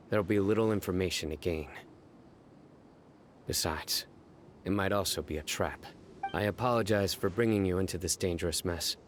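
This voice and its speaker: A man voicing a grim, deep-voiced enforcer. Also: a little hiss or hum, natural voice. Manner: terse, deadpan, measured. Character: grim young male desert investigator, stoic and dutiful. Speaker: stern law enforcer.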